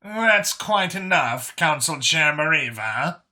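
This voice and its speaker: A man's voice: on the high side, expressive, takes it slowly, sharp tone, pitched above the performer's own voice, full character voice. A man voicing a composed, businesslike alien woman who sits as a council official in a science fiction audiobook. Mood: firm, measured. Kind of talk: audiobook character acting.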